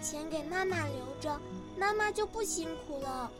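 A woman voicing a gentle, considerate little girl in a radio drama, with a childlike manner. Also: high voice, animated, normal speed, far from the mic, music in the background. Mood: sweet, caring. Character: sweet, thoughtful little girl devoted to her mother.

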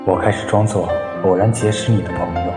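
Radio drama lead, male actor: shy, tender, lovesick young male student, lowish voice, echoey, loud sad music behind. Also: fairly even intonation, fast talker, lightly acted. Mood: tender longing. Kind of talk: scripted monologue, modern Chinese radio drama. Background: sad new-age music.

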